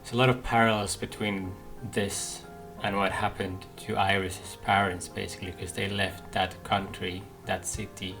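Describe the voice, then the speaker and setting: A man hosting a game playthrough, commenting casually and haltingly as he thinks aloud. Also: low-pitched, flat delivery, vocal fry, music in the background. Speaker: gaming video host.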